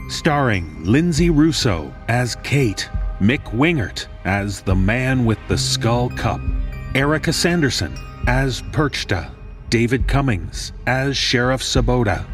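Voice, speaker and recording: A man reading scripted credits as an announcer, in a measured, formal way. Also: mid-range voice, lively intonation. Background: loud music.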